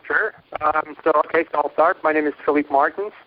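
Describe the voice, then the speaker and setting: A man's voice: on the high side, fairly even intonation, a little fast, phone-quality sound. A young man from Portugal, a band's guitarist and singer, talking loosely with lots of fillers in a phone interview. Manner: rambling, hesitant, many fillers.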